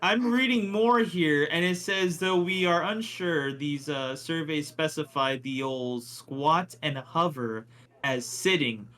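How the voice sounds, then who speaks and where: A man's voice: on the high side, takes it slowly, a bit bright. A man in casual podcast chat, chiming in with short remarks and questions.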